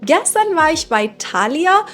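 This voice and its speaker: A young woman from Iran who teaches German, hosting her channel and presenting books in a clear, measured teaching style with occasional hesitations. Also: very high-pitched, big pitch swings, fairly bright tone, faint music behind. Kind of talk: slow, clear teaching narration for learners.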